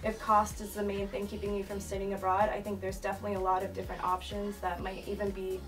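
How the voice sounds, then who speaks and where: A woman's voice: mid-range voice, monotone, quick pace. A young woman from Massachusetts, an office manager, explaining study abroad in an earnest interview manner with occasional fillers. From Massachusetts, USA. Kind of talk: documentary interview. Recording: distant mic with music underneath.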